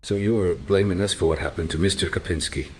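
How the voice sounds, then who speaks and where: An actor playing a restrained male police inspector in a radio drama, speaking formally and with measured delivery. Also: low voice, slight background noise, lightly acted. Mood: defensive.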